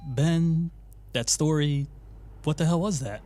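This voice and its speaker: A man's voice: on the high side, slow pace, dark tone. An actor playing a skeptical, uneasy and blunt man in a naturalistic audio drama. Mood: skeptical, rattled. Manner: blunt, questioning.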